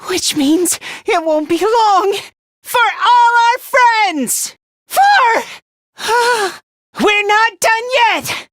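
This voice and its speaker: A self-loathing young student fighter from a video game, with a very high, timid voice. He speaks slowly and hesitantly, stumbling over first words and belittling himself, and his pitch swings widely. The sound is close and dry. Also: big pitch swings, fairly bright tone, no echo, exaggerated voice. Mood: excited, straining. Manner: hesitant, stammering, self-deprecating. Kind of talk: English video game voice acting.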